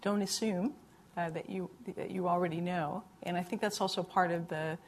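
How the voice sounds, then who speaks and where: Earnest low-voiced woman panelist. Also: fairly even intonation, moderate pace, slight background noise. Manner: earnest, many repeated words. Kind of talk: panel discussion answer.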